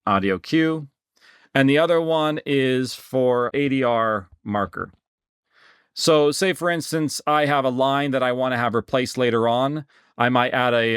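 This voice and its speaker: A man giving a casual software tutorial as an instructor, explaining things conversationally on a close mic. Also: mid-range voice, clean tone, no room sound.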